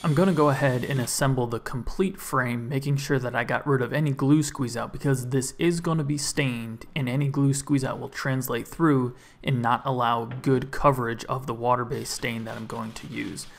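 A man's voice: mid-range voice, steady tone, normal speed, vocal fry, few pauses, slight background noise. A man in his thirties, host of a woodworking channel, narrating his build in a voice-over and explaining each step with upbeat enthusiasm for his tools.

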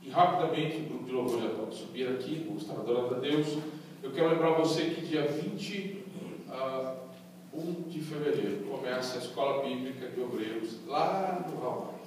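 Fervent pastor in an echoey church. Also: male voice, mid-range voice, normal speed, a little mellow, slight background noise. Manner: fervent, calls for amens. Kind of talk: church announcements from the pulpit. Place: large church hall, indoors. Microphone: handheld mic, recorded from far in the pews. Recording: echoey hall from far off.

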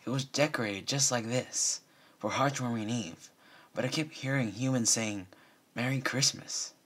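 A man's voice: medium pitch, moderate pace, fairly bright tone, voice pushed up, natural voice. A man voicing an earnest, insistent young female unicorn pony with a suspicious streak, for a story reading.

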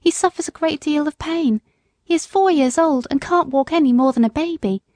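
A woman's voice: high voice, normal speed, darkish tone, dry recording, voice pushed up, close to an everyday voice. A woman voicing a poor, anxious young country mother in a storybook, humble, polite and pleading.

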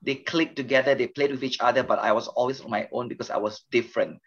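A gentle, soft-spoken pastor in his fifties with a Malaysian accent tells his story at an unhurried, reflective pace. His voice sits in a middle register for a man. The sound is a little dull and lo-fi. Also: mid-range voice, fairly even intonation, husky. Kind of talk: podcast interview.

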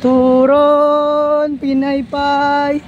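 Woman, Davao Cebuano accent, pedicab driver calling for passengers, very slow drawn-out calls, outdoors, faint street noise. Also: high voice, little pitch movement, muffled recording. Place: outdoors on quiet residential streets.

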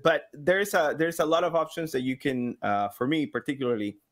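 A man in his thirties chatting casually as a podcast guest, telling anecdotes in an expressive, joking way. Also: on the high side, brisk. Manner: joking, expressive, hands-on storyteller. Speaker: podcast guest, game sound designer.

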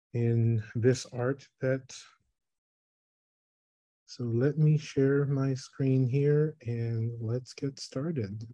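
A man in his thirties, from the Philippines, giving a thoughtful online talk about his photography on a video call, with pauses and restarts. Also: medium pitch, warm tone, dry recording. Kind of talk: online presentation. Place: small room, indoors. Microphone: webcam on a video call.

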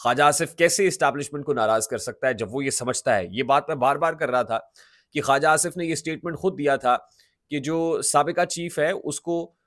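A man's voice: fairly high pitch, a little fast, fairly bright tone, no echo, clean studio sound. A man in his thirties with a Pakistani accent, giving emphatic political commentary to camera, restating his points and mixing in English words. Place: small indoor home studio. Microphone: large studio microphone close in front.

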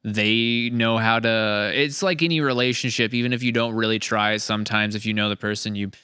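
Bright, clear-voiced man hosting a podcast. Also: lowish voice, fairly even intonation, brisk, no echo, studio quality. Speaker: podcast host. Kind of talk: casual post-session podcast chat. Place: large indoor studio room. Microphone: close boom-mounted studio mic.

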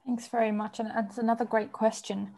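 A woman's voice: a little high, level tone, warm tone. A woman in her thirties with an Australian accent, speaking as a panelist on an online panel from her home, earnest and fluent. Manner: earnest, fluent, measured with some restarts. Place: home room with bookshelves. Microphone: video call, earphones.

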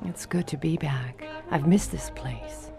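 An actress playing a world-weary nightclub torch singer in a noir radio drama, wistful, restrained and stubborn. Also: female voice, low-pitched, unhurried, vocal fry, over music, crowd noise. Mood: wistful, subdued.

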